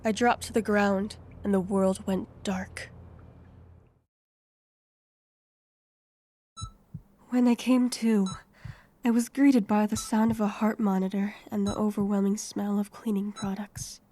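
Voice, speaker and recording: A woman playing an earnest, anxious young heroine, narrating her own story in a measured way for an audio drama. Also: mid-range voice, level tone, dark tone, close to an everyday voice. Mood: weary, reflective. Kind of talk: scripted first-person audio drama narration.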